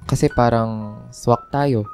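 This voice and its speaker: A man's voice: mid-range voice, steady tone, slow, a little mellow. A man acting as a sweet, enthusiastic young anime fan in a radio drama, sincere and friendly. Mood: tender. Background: music.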